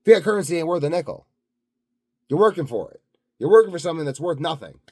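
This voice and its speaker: A man's voice: fairly high pitch, lively intonation, fast, dark tone. A self-assured, blunt man rambling unscripted to his viewers in a grandiose solo monologue. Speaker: solo video host.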